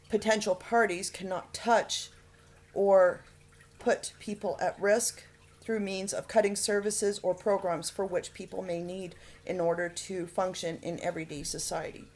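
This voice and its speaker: Halting earnest woman making an appeal. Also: fairly low pitch, darkish tone. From Alberta, Canada. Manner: halting, many fillers and pauses; earnest, pleading. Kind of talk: unscripted appeal to camera.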